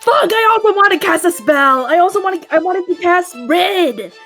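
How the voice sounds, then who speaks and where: A woman's voice: extremely high pitch, animated, moderate pace. A woman chatting casually as a player at a tabletop game. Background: soft music.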